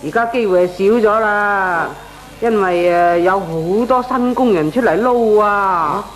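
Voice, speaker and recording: Actress for thrifty middle-aged Cantonese landlady, mid-pitched, colloquial, self-justifying, comic radio drama. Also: unhurried, lightly acted, low-quality recording. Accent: Hong Kong Cantonese. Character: frugal, chatty middle-aged landlady auntie.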